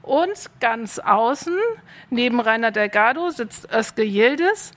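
A woman moderates a festival panel, her voice sitting a little high. She speaks in a friendly way and invites the audience to join the talk. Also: expressive, takes it slowly, a bit bright. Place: panel stage at an arts festival. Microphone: handheld microphone.

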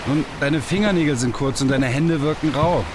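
A man's voice: fairly high pitch, normal speed, noisy background, lightly acted. A man playing an awkward, nervous young stage mentalist in a science-fiction radio play, stammering and hesitant. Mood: flustered. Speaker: voice actor in an audio drama.

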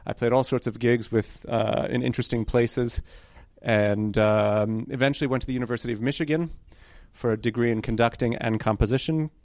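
A man's voice: medium pitch, steady tone, a little fast, a little mellow, dry recording. Young man from New York, candid and rambling, dry close sound. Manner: chatty, self-correcting, apologetic asides. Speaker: guest conductor candidate. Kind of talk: conversational storytelling interview.

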